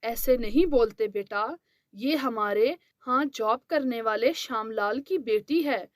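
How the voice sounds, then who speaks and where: A woman's voice: fairly high pitch, slow pace, no echo, studio quality, voice pushed down. A woman voicing a kindly, mild rich employer and father in a cartoon story.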